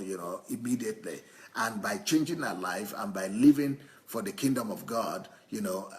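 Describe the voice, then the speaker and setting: A man interpreting a church testimony live into English, haltingly, often repeating and rephrasing his phrases. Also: lowish voice.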